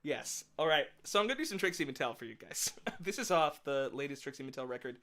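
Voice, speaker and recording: A musician chatting casually between songs, rambling through quick asides with little laughs. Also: medium pitch, animated, a bit bright. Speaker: musician performing a live set.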